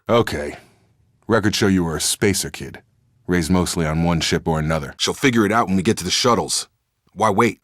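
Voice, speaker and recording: Sci-fi game squadmate: a steady, direct soldier, young, deep-pitched, recorded dry. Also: male voice, dry recording. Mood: calm, guarded. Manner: direct, clipped military phrasing. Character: steady, principled male soldier in a sci-fi crew. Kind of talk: video game voice acting.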